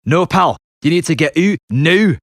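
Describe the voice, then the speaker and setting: A man's voice: on the high side, expressive, moderate pace, dry recording, clean studio sound, pitched above the performer's own voice, lightly acted. A man voicing an aggressive hard man posing as a security guard, barking curt threats in an audiobook. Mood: menacing.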